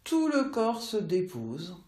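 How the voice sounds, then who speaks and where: A woman teaching yoga nidra, guiding relaxation in measured instructional phrases with long pauses. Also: mid-range voice, wildly swooping pitch, a little mellow. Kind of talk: slow guided relaxation meditation. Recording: roomy, echoey voice recording.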